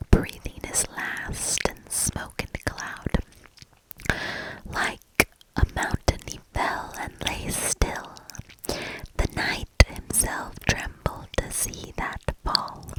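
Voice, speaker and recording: A woman whispering a fairy tale as ASMR, soft-spoken and deliberate, with measured storybook phrasing. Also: poor audio.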